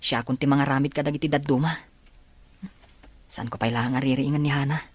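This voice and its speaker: A woman playing a kind, encouraging young male student in a radio drama, speaking gently and reassuringly. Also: rumbling low, steady tone, a little fast, no echo. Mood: tender. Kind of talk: Ilocano radio drama acting. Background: faint background music.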